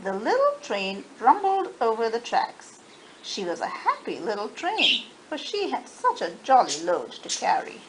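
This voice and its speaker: A woman reading a storybook aloud to children with expressive narration. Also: fairly high pitch, measured pace, rough recording. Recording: faint background noise.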